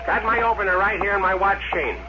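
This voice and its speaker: A man's voice: high-pitched, quick pace, close to an everyday voice. An actor playing a greedy, bossy middle-aged father who runs a desert gas stop, with folksy rural talk, in 1950s radio drama style. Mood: folksy teasing. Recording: muffled noisy vintage radio recording.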